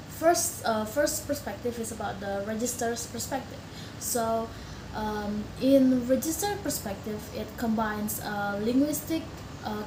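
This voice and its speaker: A young woman from Indonesia whose first language is Indonesian, giving a recorded class presentation in a hesitant way, with many fillers and restarts. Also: a little high. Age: young adult. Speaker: student. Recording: echoey room with background noise.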